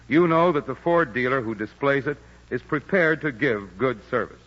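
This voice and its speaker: Man, 1940s radio commercial announcer, measured and reassuring, long reverb, muffled broadcast. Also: mid-range voice, a bit bright.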